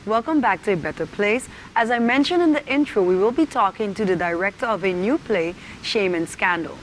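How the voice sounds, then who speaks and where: A woman's voice: medium pitch, lively intonation, a little fast, poor audio. A woman hosting a talk show, introducing and questioning in a conversational interview style. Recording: faint background noise.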